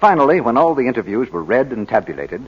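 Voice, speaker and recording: A man working as a radio announcer and pitchman, reading scripted advertising in 1940s style. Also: sing-song, quick pace, breathy edge. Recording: muffled old 1940s radio broadcast.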